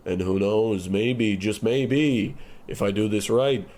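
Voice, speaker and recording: An actor playing a morose, earnest small-time gangster son in a comic old-time radio play. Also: male voice, fairly low pitch, slow pace, a little mellow. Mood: determined. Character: glum small-time gangster son who loves boxing.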